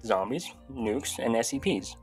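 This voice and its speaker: A man's voice: mid-range voice, lively intonation, measured pace. A young man giving casual, sweary video commentary as a YouTube host. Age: young adult. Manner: irreverent, sweary. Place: small bedroom, indoors. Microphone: close desk microphone. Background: faint music.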